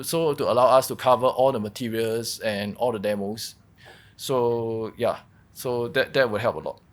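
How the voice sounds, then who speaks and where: A man giving a technical workshop presentation, explaining in a halting way and repeating words as he goes. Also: medium pitch. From Singapore. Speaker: workshop presenter, malware researcher.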